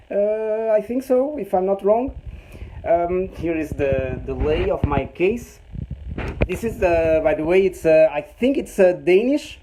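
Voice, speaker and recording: A Portuguese man in his thirties, speaking English with many pauses and restarts as he gives a casual tour of his small home workshop. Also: high-pitched, dark tone, poor audio. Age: 30s. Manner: hesitant, many fillers and restarts, chuckles often. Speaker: host showing his home print workshop. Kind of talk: casual live-stream tour. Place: small home machine room.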